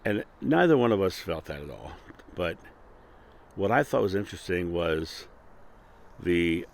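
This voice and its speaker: A man's voice: low-pitched, sing-song, unhurried, gravelly. A man hosting his own channel, talking casually and earnestly about a spiritual idea, often restarting and repeating words. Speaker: channel host. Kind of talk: casual spiritual talk to camera. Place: Akron, Ohio.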